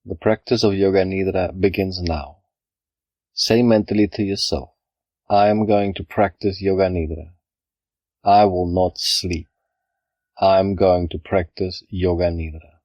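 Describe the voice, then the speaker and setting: A man leading a scripted guided meditation, speaking in a calm, measured, instructional way with pauses between phrases. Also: low-pitched, no room sound, lo-fi sound. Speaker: yoga nidra guide.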